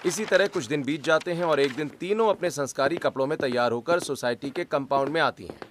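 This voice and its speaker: A man's voice: a little high, a little fast, slight background noise. A man narrating a scripted story as its storyteller.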